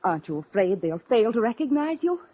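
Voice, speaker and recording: An actress playing a suspicious, strong-willed American heiress, crisp and pointed in a 1940s radio mystery style. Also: female voice, a little high, sing-song, a little fast. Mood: indignant, probing. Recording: muffled, noisy vintage radio recording.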